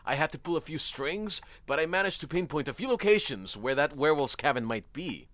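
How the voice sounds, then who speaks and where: Smug schemer, swooping delivery. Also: male voice, a little high, animated, a little fast, fairly bright tone, no room sound. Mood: smugly pleased. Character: smug scheming young man plotting a nefarious plan. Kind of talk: audio drama acting.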